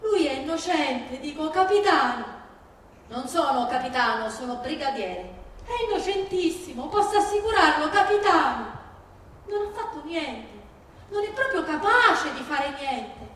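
A woman's voice: sits high, big pitch swings, a little slow, fairly bright tone, full character voice. A woman acting a fierce, grieving older Sicilian mother in an emphatic, plaintive stage monologue, with a Sicilian accent. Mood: indignant pleading. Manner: emphatic, plaintive, repeating phrases. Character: fierce, grieving Sicilian mother disowning her criminal son. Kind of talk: dramatic theatrical monologue on stage. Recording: very echoey hall from far, faint noise.

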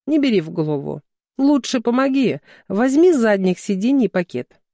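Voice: mid-range voice, big pitch swings, normal speed, dark tone, dry recording, studio quality. A narrator voicing a man of nearly thirty, a driver in love, in an audiobook. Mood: reassuring.

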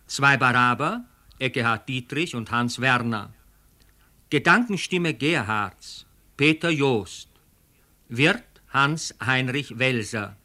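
A man's voice: medium pitch, pushed and bright. A man reading scripted credits formally as a radio announcer.

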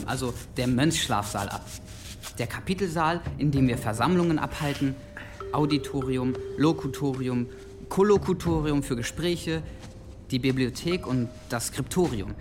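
An actor playing an eager, earnest young monk who explains things carefully in a radio drama. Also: male voice, mid-range voice, natural voice.

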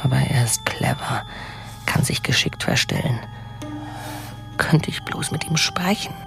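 A man's voice: medium pitch, measured pace, gravelly, in a whisper, over music. An actor playing a tense male murder suspect who talks in a brooding, defensive way. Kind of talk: radio drama acting.

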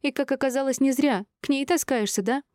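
A woman voicing a shrill, hysterical wife in her thirties who yells tearful accusations in an audiobook drama. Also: animated, normal speed, no echo, clean studio sound. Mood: shocked, hysterical. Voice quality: shrill, squealing.

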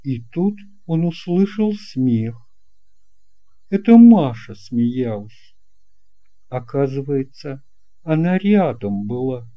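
A children's audiobook narrator with a very soft, dark, mellow tone and a slow, measured pace. The reading is expressive storytelling, with every phrase given room. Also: medium pitch.

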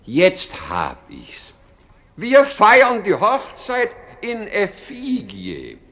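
An actor playing a fussy, childishly muddled king in a radio play, making pompous and halting pronouncements. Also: sits high, wildly swooping pitch, pushed and bright, exaggerated voice. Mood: pompously decisive. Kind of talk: theatrical radio play acting.

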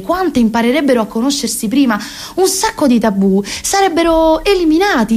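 A woman of about thirty with a Roman Italian accent performing a comic theatrical monologue in an expressive, confiding, colloquial way. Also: high voice. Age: around 30. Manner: confiding, expressive, colloquial, asks rhetorical questions. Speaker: actress and monologuist.

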